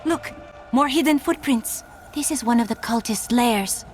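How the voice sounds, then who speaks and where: An actress voicing a calm, wise young enchantress who speaks in a measured, formal way, in game audio with music behind. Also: female voice, fairly high pitch, lightly acted. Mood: composed. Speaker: companion enchantress.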